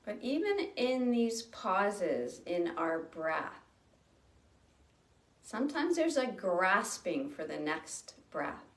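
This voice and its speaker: A woman leading a guided breathing meditation, speaking slowly and gently with long pauses. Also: medium pitch, big pitch swings, far from the mic. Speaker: meditation teacher. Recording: roomy sound from off the mic.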